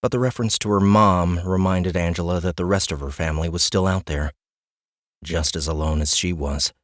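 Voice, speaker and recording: A man narrating a fantasy audiobook in a wry, expressive storytelling manner. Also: low-pitched, brisk, no room sound, studio quality.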